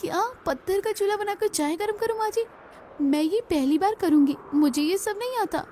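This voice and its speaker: A woman voicing a tired, gentle, dutiful young daughter-in-law with a polite, plaintive manner in a cartoon story. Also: extremely high pitch, expressive, normal speed, slight background noise. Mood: anxious. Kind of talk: Hindi cartoon story dialogue.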